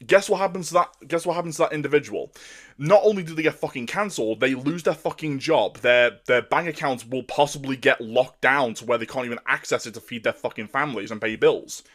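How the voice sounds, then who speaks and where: A man with a deep voice delivering an angry, profane rant, often stumbling and restarting his words. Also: fairly quick, fairly bright tone. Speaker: commentator. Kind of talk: ranting monologue.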